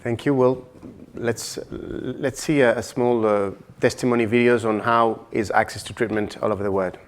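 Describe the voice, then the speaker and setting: A man moderating a round table discussion, putting questions haltingly and repeating words. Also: mid-range voice, steady tone.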